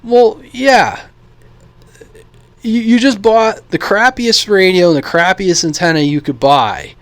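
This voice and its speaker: A man's voice: high voice, slow, dry recording. An American man giving a casual, opinionated vlog monologue in a blunt, informal, rambling way, as a radio hobbyist. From United States.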